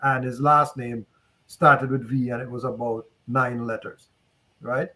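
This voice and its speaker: A man's voice: mid-range voice, little pitch movement, unhurried, sharp tone. Jamaican man sharing candid stories. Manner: candid, anecdotal, conversational. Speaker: panelist. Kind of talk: casual panel discussion. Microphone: video call.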